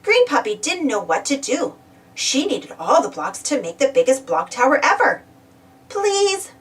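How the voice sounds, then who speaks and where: A woman narrating a children's story aloud in an animated read-aloud style. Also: high-pitched, normal speed, recorded from a distance. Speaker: storybook reader.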